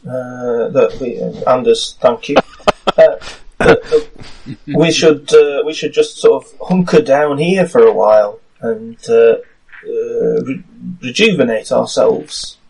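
A man's voice: mid-range voice, takes it slowly, creaky, a little mellow, slightly rough. A man playing a tabletop game, talking casually with hesitant, polite phrasing. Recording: distant from the microphone.

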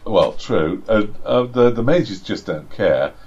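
Low-voiced hesitant man, dry humour. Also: expressive, slow, darkish tone, husky. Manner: hesitant, many restarts and fillers, dry self-deprecating humour. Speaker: game master and podcast co-host. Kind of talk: casual chat and tabletop game setup. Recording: slightly dull lo-fi home recording.

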